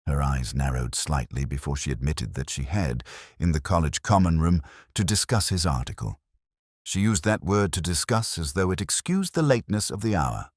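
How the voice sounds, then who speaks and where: A man playing a melancholy, observant older police inspector, narrating a case in a measured, reflective, literary way. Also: a bass voice, husky, no room sound, studio quality, full character voice. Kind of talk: scripted first-person detective narration.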